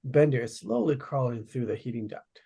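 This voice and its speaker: Mellow, husky man reading stage directions. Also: mid-range voice, sing-song, fairly quick. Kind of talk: plain narration at a table read.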